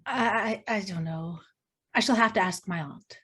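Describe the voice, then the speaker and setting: A woman's voice: mid-range voice, moderate pace, pushed and bright, husky. A woman at a screenplay table read playing a timid, polite niece with hesitant delivery.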